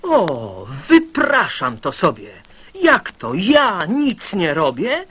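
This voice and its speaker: A woman's voice: fairly low pitch, huge pitch swings, slow, fairly bright tone, slight background noise, full character voice. A woman playing an indignant lazy young boy, huffily protesting in a children's radio poem. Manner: huffy, protesting.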